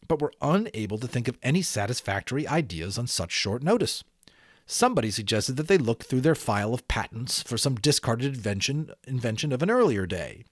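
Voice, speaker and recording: A man reading a children's story aloud in an expressive, theatrical manner, speaking directly to listeners. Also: mid-range voice, no echo, clean studio sound. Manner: theatrical, engaging listeners with questions. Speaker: audiobook reader.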